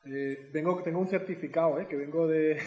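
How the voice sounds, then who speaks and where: A man's voice: fairly high pitch, fairly even intonation, a little fast, darkish tone, echoey room. A man giving an informal lecture as an educator, chatty and teacherly, often asking his audience questions. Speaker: educator giving a heritage talk.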